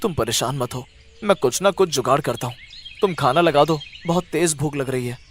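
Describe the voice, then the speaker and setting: A man's voice: fairly high pitch, brisk, a little hiss or hum, close to an everyday voice. A man voicing a good-humoured, affectionate village farmer and hawker in a cartoon story, coaxing and reassuring.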